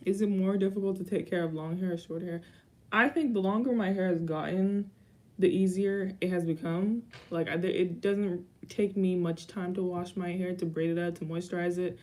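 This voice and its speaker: Low, mellow young woman chatting. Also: steady tone, normal speed. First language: Haitian Creole. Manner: rambling, self-correcting, dry asides. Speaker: YouTuber. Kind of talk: casual Q&A vlog chat.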